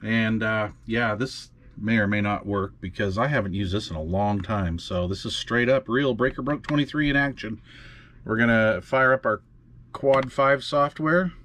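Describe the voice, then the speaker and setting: A man casually walking through radio software in a makeshift home studio, often trailing off and muttering to himself as he tinkers. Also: lowish voice, normal speed. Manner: muttering to himself while fiddling with gear, many fillers. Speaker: radio hobby video host. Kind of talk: casual hands-on demonstration.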